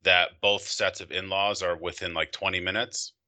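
Deep-voiced man hosting a company podcast. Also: flat delivery, pushed and bright. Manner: friendly and chatty, with quick laughs. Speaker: podcast host. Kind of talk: casual interview chat.

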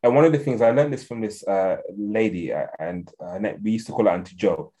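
A man's voice: fairly low pitch, fairly quick, mellow. A man with an English accent answering interview questions as an expert guest, speaking earnestly and thinking aloud with frequent restarts. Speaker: guest expert on African security policy.

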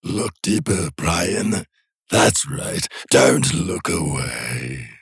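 A man voicing a gleeful predatory creature inside a dead dog's body, speaking low, husky and slowly in a taunting, sly manner. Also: lively intonation, no echo, clean studio sound, full character voice. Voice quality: low, husky, slow and drawn out. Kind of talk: audiobook horror narration.

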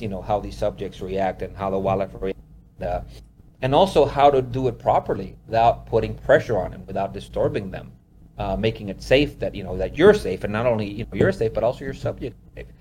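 A man's voice: on the low side, dark tone, a little hiss or hum. A man from Honduras who works as a wildlife photographer, telling stories in a casual interview. He rambles, leans on "you know" and laughs often.

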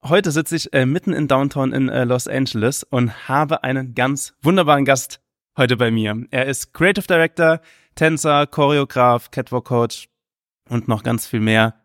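A man's voice: mid-range voice, moderate pace, fairly bright tone, no echo, studio quality. A man hosting an interview podcast, chatty and upbeat, asking friendly questions.

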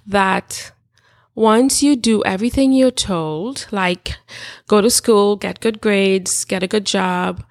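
A woman's voice: mid-range voice, gravelly, dry recording, studio quality. A middle-aged woman, a life coach guest telling her personal story in a candid, reflective way with plenty of filler words. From Trinidad and Tobago.

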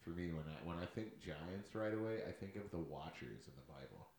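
A man's voice: low-pitched, animated, brisk, darkish tone, roomy sound. A man co-hosting a podcast in casual chat. He is laid-back, swears a lot and laughs often. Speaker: podcast co-host.

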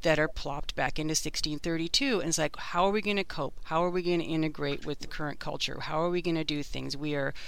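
A man's voice: on the high side, fairly even intonation, quick pace, gravelly, few pauses, a bit bright, smooth. A man co-hosting a talk show, casually and enthusiastically explaining books with plenty of rhetorical questions. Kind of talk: casual enthusiastic book discussion.

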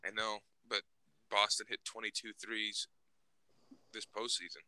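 A man's voice: on the low side, fairly even intonation, measured pace, pushed and bright, no echo, low-quality recording. A young man chatting casually about basketball, mostly giving short replies but firmly arguing his own picks. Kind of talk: casual sports chat.

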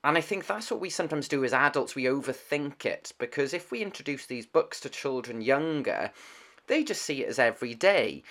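A man's voice: medium pitch, fairly bright tone. A man hosting an interview podcast, conversational and enthusiastic, introducing his guest and asking curious questions. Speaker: podcast host.

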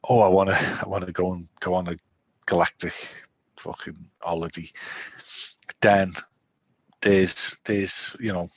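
A man in his forties, co-hosting a podcast in casual chat, rambling and animated with lots of restarts. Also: sits low, measured pace, pauses often, husky, no room sound. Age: 40s. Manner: rambling, animated, self-interrupting. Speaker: podcast co-host.

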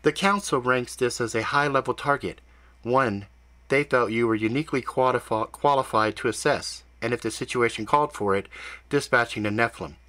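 A man voicing a calm telepathic woman guide who speaks softly and soothingly, with a touch of dry teasing. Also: mid-range voice, fairly quick, lightly acted.

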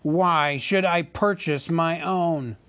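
A man voicing a proud, wrathful male dwarf king who snaps and shouts, in a storybook reading. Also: medium pitch, slow, lightly acted. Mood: cold anger. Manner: shouting, bitter, commanding.

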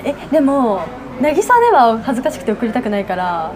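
A high-pitched woman with bouncy, swooping intonation chats amid restaurant hubbub. She is eager and giggly yet polite, in the casual manner of a podcast co-host sharing a meal. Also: very animated, a little fast. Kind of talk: casual chat while eating. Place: indoors in an oyster restaurant. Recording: busy restaurant with chatter. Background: crowd chatter of a restaurant.

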